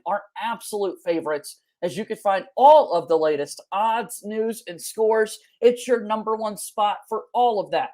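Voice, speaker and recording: Male podcast host for sports coverage. Voice sits high, conversational presenting style. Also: a little mellow. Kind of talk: conversational interviewing and scripted sponsor reads.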